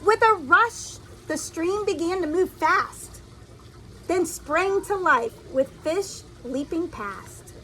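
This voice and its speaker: A woman reading a children's story aloud in an expressive, deliberate storytelling style, like a teacher addressing young listeners. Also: very high voice, a little hiss or hum, poor audio.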